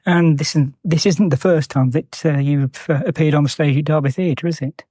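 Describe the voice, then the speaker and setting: A man interviewing actors with polite, measured questions. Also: fairly high pitch, steady tone, warm tone, no room sound.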